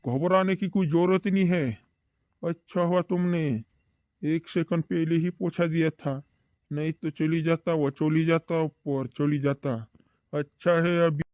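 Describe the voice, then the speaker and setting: Bossy village doctor played by a man, higher male register, insistent repetition, dry amateur cartoon-comedy recording. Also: little pitch movement, a little slow, a little mellow, dry recording, close to an everyday voice. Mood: reassuring. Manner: repeats short phrases insistently.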